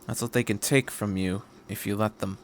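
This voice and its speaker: A man voicing a quirky, cheerful, curious time-traveling adventurer in a story reading, with a brisk, rambling delivery. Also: lowish voice, steady tone, a little hiss or hum, pitched below the performer's own voice, close to an everyday voice. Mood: grave. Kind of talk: audiobook-style story reading.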